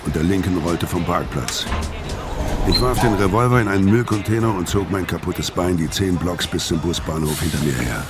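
An actor playing a weary, haunted older ex-convict, narrating a radio crime drama in a brooding, measured way. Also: male voice, low voice, loud music behind the voice, close to an everyday voice. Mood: bleak, brooding. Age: 50s. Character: weary, haunted older male ex-convict telling his story.

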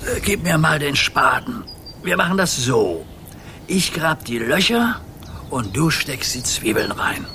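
A man's voice: a little high, big pitch swings, a little slow, sharp tone, a little hiss or hum, heavily acted. An actor playing an old grandfather sea captain in a children's radio play, teasing and dry in his banter. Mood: grumbling. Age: older.

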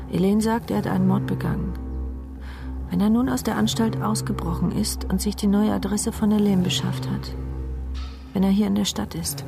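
A woman's voice: medium pitch, gravelly, natural voice. An actress playing an anxious woman sleuth who cannot speak, narrating her intense thoughts in a radio play. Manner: intense, brooding inner monologue. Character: mute paralysed woman sleuth, anxious inner voice. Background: music.

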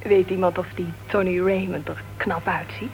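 An actress playing an outspoken, flirty married woman who writes crime novels, brisk and blunt, in a Dutch radio play. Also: fairly low pitch, fairly even intonation, natural voice. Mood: teasing, opinionated. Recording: dull, noisy old radio recording.

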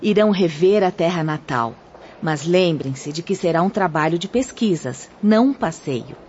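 Actress as composed young female guide, formal teacher's manner, lowish pitch, dull recording. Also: lively intonation, moderate pace, darkish tone, lightly acted. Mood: composed, gracious. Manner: formal, didactic, gracious. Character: kindly young woman spirit guide, composed instructor. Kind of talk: radio drama acting. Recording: dull, faintly noisy audio.

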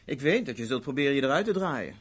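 A man's voice: mid-range voice, big pitch swings, fast talker, fairly bright tone, husky. A man acting in a 1970s Dutch radio play as an urbane, wry agent who delivers threats politely and matter-of-factly. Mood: cool threat. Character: urbane, coolly menacing male agent of a ruthless organisation.

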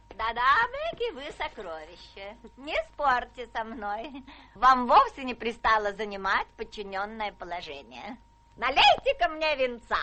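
A woman's voice: a little high, bright, forceful tone, full character voice. Imperious, swooping rich old lady. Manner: imperious, theatrical, blunt. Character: imperious, capricious, gushing rich old English spinster. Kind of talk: Russian theatrical radio drama acting. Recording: noisy old radio play with hum.

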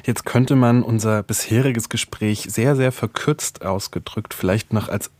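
A man's voice: on the low side, unhurried, a little mellow, dry recording, studio quality. A man acting as interviewer in an academic conversation, phrasing his questions tentatively and with qualifications.

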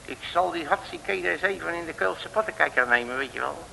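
A self-important, bumbling great detective in a vintage comedy radio play. His voice is bright, forceful and fairly high, with pompous, slangy turns. The old recording hisses and rings with some reverb. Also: fairly quick, gravelly, full character voice. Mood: cocky. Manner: pompous, colourful slangy exclamations, chuckling at himself. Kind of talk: mid-century Dutch comic radio serial acting.

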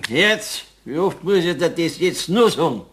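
An actor playing a peevish, puzzled Bavarian card player in a children's radio play, with a querulous, questioning manner and a Bavarian accent. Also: male voice, a little high, expressive, measured pace, a bit bright, a little hiss or hum. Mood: impatient.

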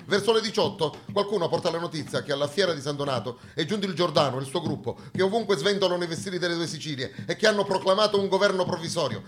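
A man performing a dramatic theatrical monologue, narrating historical events in a deliberate, declamatory way. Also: on the high side, a bit bright. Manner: declamatory, deliberate, storytelling delivery. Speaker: stage actor and narrator.

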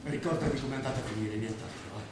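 An actor playing an earnest, anguished bookish professor in a stage drama, speaking with pleading emotion. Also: male voice, mid-range voice, fast, lightly acted. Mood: subdued, grave. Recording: echoey theatre stage from far off, noisy.

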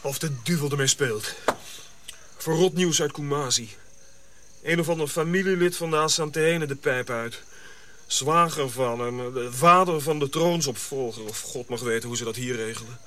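A man playing a cynical, gruff colonial officer in a radio drama, blunt and sardonic. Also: medium pitch, normal speed, bright, forceful tone, lightly acted. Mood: sardonic, irritated.

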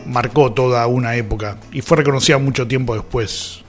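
An Argentine man hosts a radio programme with reflective commentary. His voice sits at a middling pitch, and he talks in a conversational way over music. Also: steady tone, brisk. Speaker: radio host.

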